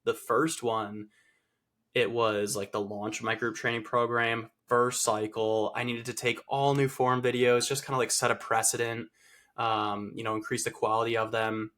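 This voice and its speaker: A man's voice: medium pitch, little pitch movement, normal speed, a bit bright. A man co-hosting a fitness podcast, stuffy and nasal from a cold, chatting casually with lots of fillers and restarts. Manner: rambling, filler-heavy, self-correcting. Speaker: podcast co-host, fitness coach. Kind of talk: casual chat.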